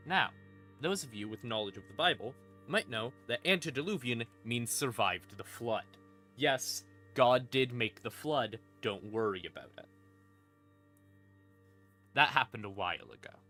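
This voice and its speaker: Male streamer, forceful bright voice, casual sweary lore explainer with wry asides, eerie ambient music underneath. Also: medium pitch, expressive, a little slow. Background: creepy ambient music.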